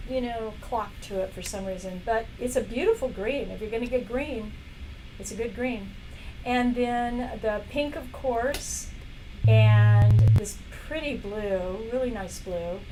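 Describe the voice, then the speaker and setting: A woman hosting a casual product demo live stream. She is losing her voice and keeps coughing and clearing her throat between chatty remarks. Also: fairly high pitch, darkish tone, slight background noise, rough recording. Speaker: live shopping stream host. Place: indoor workplace studio.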